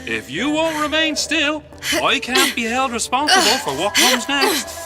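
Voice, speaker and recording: Irish gangster boss, sadistic game villain, swoopy highish voice, loud music under it. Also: male voice, sing-song, full character voice. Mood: sadistic taunting. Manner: taunting, theatrical, drawn-out phrasing. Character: menacing male crime boss, mockingly affectionate, sadistic. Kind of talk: video game cutscene acting. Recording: music behind the voice.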